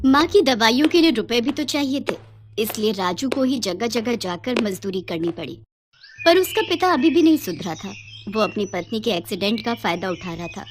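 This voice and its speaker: A woman's voice: on the high side, animated, normal speed, noisy background. A woman narrating a scripted moral story.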